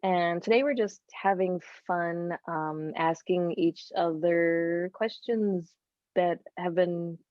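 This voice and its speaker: A woman's voice: fairly low pitch, slow. A woman co-hosting a podcast in casual, conversational panel chat, recorded with roomy, slightly muffled sound. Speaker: podcast co-host. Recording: roomy, slightly dull lo-fi audio.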